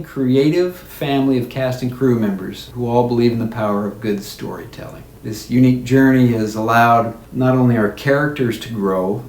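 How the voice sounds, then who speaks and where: A man's voice: medium pitch, darkish tone, echoey room. A man delivering a prepared, scripted video message thanking supporters. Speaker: actor giving a video message.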